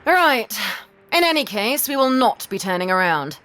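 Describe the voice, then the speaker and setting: A woman's voice: a little high, sing-song, normal speed, a bit bright. A woman playing a stern, cold ship's captain in an audio drama, curt and imperious. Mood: cold, commanding.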